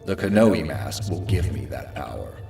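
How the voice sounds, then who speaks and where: A man playing a cold, brooding warrior hero who narrates and speaks tersely in an animated fantasy. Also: a bass voice, moderate pace, creaky, reverberant, heavily acted. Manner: terse, stern, deadpan. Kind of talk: dramatic animated narration and dialogue. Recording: music behind the voice.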